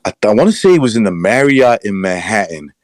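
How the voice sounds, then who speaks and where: Low-voiced man with a Southern-New York accent. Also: very animated, fast talker, no echo, studio quality. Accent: mixed Southern and New York American. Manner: rambling, candid storytelling. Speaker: podcast co-host. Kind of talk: casual chat.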